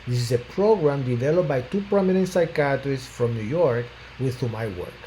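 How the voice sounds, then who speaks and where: An older man from Puerto Rico, a physician, giving a prepared self-introduction in a measured, formal way, phrases trailing off at the ends. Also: mid-range voice, animated, mellow, low-quality recording. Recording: faint background noise.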